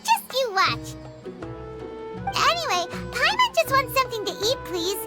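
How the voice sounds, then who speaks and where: Squeaky, very high-pitched fairy sidekick. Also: female voice, wildly swooping pitch, a little slow, loud music behind the voice, heavily acted. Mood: perky, insistent. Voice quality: squeaky, childlike. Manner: refers to self by name, exclamatory. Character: tiny cheerful hungry childlike fairy companion, female. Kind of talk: animated video game voice acting.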